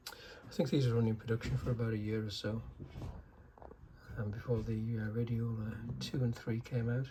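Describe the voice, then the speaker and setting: A man giving a casual, unscripted technical demonstration in a halting, low-key way, like a restorer explaining equipment. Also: flat delivery, mellow, slight background noise. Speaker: vintage radio restorer demonstrating a set.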